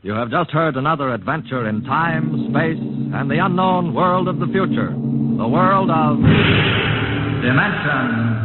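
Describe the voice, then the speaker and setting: Male host-announcer, American, fairly high voice, vintage 1950s radio delivery, narrow muffled sound over music. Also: expressive, measured pace. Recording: muffled old radio broadcast recording.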